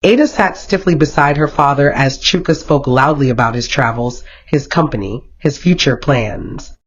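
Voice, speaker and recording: A storyteller reading a scripted romantic folktale in a dramatic, expressive manner. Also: mid-range voice, moderate pace, husky. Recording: dull, lo-fi sound.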